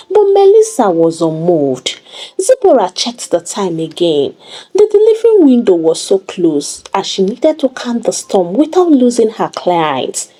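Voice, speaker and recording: A woman narrating a dramatic scripted story in an expressive, deliberate storytelling manner. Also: medium pitch, breathy edge, poor audio.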